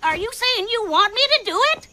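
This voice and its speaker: A performer voicing a mischievous, chatty little parrot in an animated cartoon, with exaggerated, teasing delivery. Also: extremely high pitch, brisk, slight background noise, full character voice. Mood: nervously eager. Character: mischievous small parrot who mimics others, cheeky trickster.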